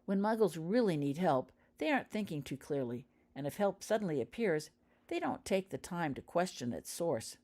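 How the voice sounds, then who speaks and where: A woman voicing a calm, knowledgeable student prefect explaining patiently in a storybook reading. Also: on the low side, normal speed, voice pushed up.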